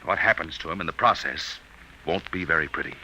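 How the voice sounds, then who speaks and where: An actor playing an urgent, persuasive army major with a commanding manner in a vintage radio drama. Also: male voice, deep voice, brisk. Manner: forceful, commanding. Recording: slightly noisy old radio recording.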